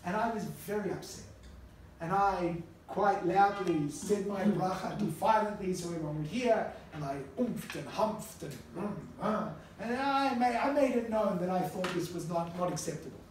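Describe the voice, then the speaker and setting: Rabbi lecturing in an echoey room. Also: male voice, sits high, normal speed. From Australia. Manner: relaxed storytelling with asides to the students. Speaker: rabbi teaching a class. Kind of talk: conversational classroom lecture. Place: classroom with a live audience. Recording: echoey distant classroom recording with faint noise.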